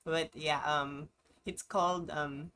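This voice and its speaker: A young Filipina streamer talks in a low, relaxed voice, English a second language to her. She wanders and hesitates, filling gaps with ums and small laughs, over a faint background hiss. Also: slow. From Philippines, Benguet region. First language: a Philippine language. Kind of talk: casual live-stream chat.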